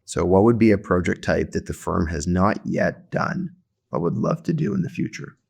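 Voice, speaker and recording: A gravelly deep-voiced male podcast host. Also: a little mellow. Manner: halting, rambling restarts. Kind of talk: casual conversation.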